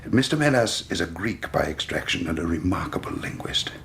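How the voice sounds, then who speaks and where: An actor playing a genial, indolent and superior British gentleman sleuth in a period radio drama, urbane and self-assured. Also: sits low, expressive, brisk, creaky, whispered, full character voice. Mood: leisurely, assured.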